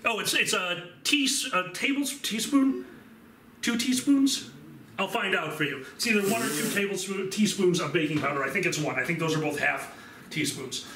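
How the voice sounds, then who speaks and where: A man's voice: sits high, lively intonation, moderate pace. A young man giving a casual, joking cooking demonstration in his home kitchen, talking offhand with fillers. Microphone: far camera. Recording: echoey kitchen, distant mic, loud mixer noise.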